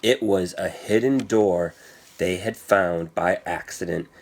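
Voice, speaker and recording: A man's voice: low voice, takes it slowly. A man reading a children's story aloud as scripted narration, with a theatrical, suspenseful delivery. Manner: theatrical, suspenseful delivery with emphatic sound words. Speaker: storybook reader.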